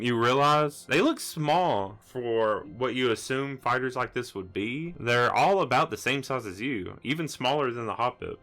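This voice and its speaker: A man's voice: mid-range voice, fairly bright tone. A man improvising scene narration as the game master of a tabletop roleplaying game.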